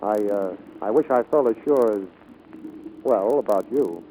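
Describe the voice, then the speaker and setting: Male romantic lead, vintage radio play, mellow muted voice, earnest and unsure, faint hiss acted. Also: lowish voice, unhurried, close to an everyday voice. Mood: wistful, uneasy. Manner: hesitant, earnest. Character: devoted, insecure fiancé of a woman pilot. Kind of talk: 1940s radio romantic drama acting. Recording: noisy, muffled vintage radio recording.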